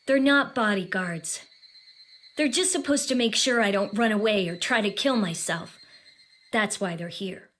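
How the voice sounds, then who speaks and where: A woman's voice: medium pitch, measured pace, a bit bright, close to an everyday voice. A woman playing a proud, bitter young exiled prince in an audio drama, cool, defiant and articulate. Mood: dry, bitter. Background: background music.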